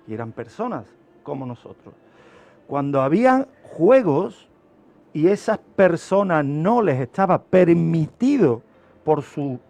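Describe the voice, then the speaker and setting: Higher-pitched Spanish man explaining things didactically. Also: darkish tone. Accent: Spanish from Spain. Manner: didactic, emphatic, builds explanations with examples and short phrases. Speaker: criminologist guest expert. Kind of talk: explanatory radio talk.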